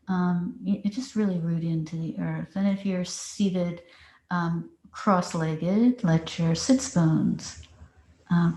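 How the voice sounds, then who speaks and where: A woman meditation teacher leading a guided meditation with deliberate phrasing and long pauses. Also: fairly low pitch, dark tone. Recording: roomy, echoing space.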